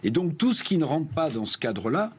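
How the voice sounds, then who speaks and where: A man who is a journalist sitting as a panelist on a TV studio debate, giving measured, emphatic commentary. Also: a little high, very animated, husky.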